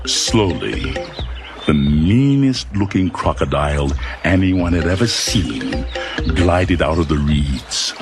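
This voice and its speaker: A man narrating a children's storybook audiobook in a dramatic, deliberate storytelling style. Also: very deep voice, sing-song. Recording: loud music and animal sounds behind.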